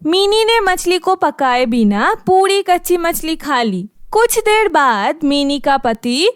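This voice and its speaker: A woman reads a children's story as narrator. Her voice is very high and she takes her time over the scripted lines. The sound is dry, with no room echo. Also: sing-song, slow pace.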